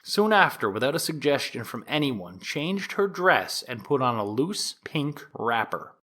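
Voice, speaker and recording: A solemn, animated 1890s prosecutor. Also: male voice, mid-range voice, heavily acted. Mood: measured, grave. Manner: formal, deliberate oratory with emphatic rises. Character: 1890s male prosecuting attorney, solemn, insistent, accusatory. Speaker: actor in an audio theatre production. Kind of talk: dramatized courtroom opening statement.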